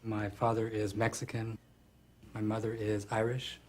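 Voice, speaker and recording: Guy with a flat-ish voice, nervous but open about it. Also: fairly low pitch, moderate pace. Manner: nervous, candid. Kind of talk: group discussion.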